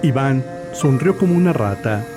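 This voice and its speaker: A man with a mid-range voice narrates a dramatized tale in a scripted storytelling style. Music plays clearly behind his voice. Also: medium pitch, expressive, normal speed. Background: background music.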